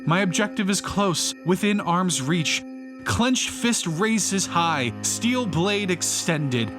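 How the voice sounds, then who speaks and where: Man acting a theatrical, fanatical failed painter over music. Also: on the high side. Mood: menacing resolve. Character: bitter failed male painter turned righteous, fanatical gallery vandal. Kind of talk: theatrical rhyming dramatic monologue, audiobook poetry performance. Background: music behind the voice.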